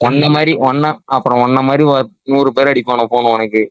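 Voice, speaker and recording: Man, mid-pitched, casual slang, exasperated questioning, dry sound, phone chat. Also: steady tone, brisk, clean tone, no room sound, lo-fi sound. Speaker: prank call recipient.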